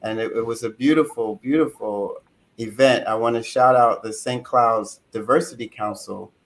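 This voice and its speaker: A man co-hosting a community panel, effusive and quick with praise. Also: mid-range voice, low-quality recording. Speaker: co-host.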